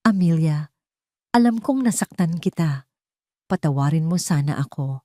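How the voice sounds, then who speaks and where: A woman's voice: sits low, expressive, moderate pace, mellow, no echo, clean studio sound. A woman voicing a tearful young pregnant mistress, pleading pitifully with a trembling manner in an audio drama. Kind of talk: Tagalog audio drama storytelling.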